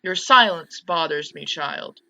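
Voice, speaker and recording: A woman's voice: low-pitched, lively intonation, measured pace, vocal fry, pushed and bright, muffled recording, heavily acted. A woman voicing a possessive, manipulative mother from a visual novel in a controlled, cloying manner. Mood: reproachful. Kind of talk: visual novel dialogue read aloud.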